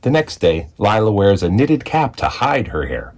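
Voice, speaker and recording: A man reading a children's picture book aloud as a storyteller, with expressive narration and deliberate dramatic phrasing. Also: lowish voice, slight background noise. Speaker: read-aloud storyteller, school executive.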